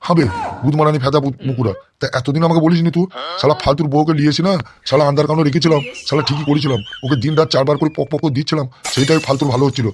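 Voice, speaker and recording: A man acting as a crude gangster politician from a comic cartoon, bullying and lecherous. He blusters and scolds at breakneck speed in a slightly high male voice, swearing constantly over faint background noise. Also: fairly even intonation, very fast, lightly acted. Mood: furious.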